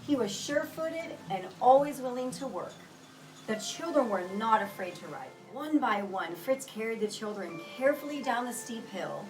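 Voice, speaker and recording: A woman reading a children's picture book aloud with expressive, deliberate storytelling delivery. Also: a little high. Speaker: storybook reader. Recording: roomy echo, distant mic, music behind.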